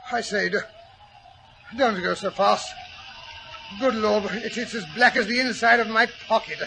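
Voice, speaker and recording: An actor playing a nervous, blustering gentleman sidekick, stammering and fussing through a 1940s radio drama. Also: sits high, measured pace, gravelly, soft background music, full character voice. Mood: breathless alarm. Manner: stammering, fussy, exclamatory. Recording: noisy old 1940s radio recording.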